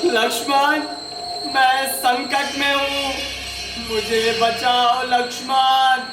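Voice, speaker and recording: A man's voice: flat delivery, over loud music, heavily acted. A man playing a demon who mimics a prince crying for help in a mythological audio drama, in echoey, distant playback. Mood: desperate. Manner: drawn-out high cries for help. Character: sly demon mimicking a prince's desperate cries.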